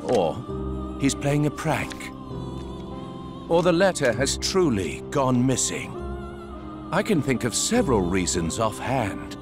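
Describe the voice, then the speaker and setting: An actor playing a calm, calculating middle-aged schemer in a video game, speaking in a measured, probing way. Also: male voice, medium pitch, over music. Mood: musing. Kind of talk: cinematic video game voice acting.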